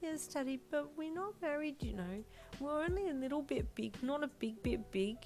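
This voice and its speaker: A woman voicing an earnest, tearful little girl who squeaks and stutters. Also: a little slow, faint music behind.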